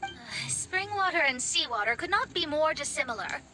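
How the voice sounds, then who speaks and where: A woman's voice: high-pitched, expressive. A woman voicing a thoughtful, observant young companion in a video game who asks careful questions. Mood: curious. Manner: measured and inquisitive. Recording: game audio with loud background noise.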